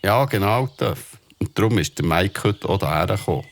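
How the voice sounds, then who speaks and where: An actor playing a calm, firm and supportive father in a children's audio drama. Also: low-pitched, normal speed, vocal fry.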